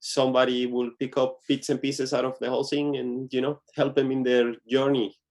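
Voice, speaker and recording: A man's voice: mid-range voice, little pitch movement, fairly quick, a little mellow. Man, Venezuelan Spanish accent, interview guest, earnest, mid-range voice. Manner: earnest, with frequent "you know" fillers. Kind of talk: casual interview answers.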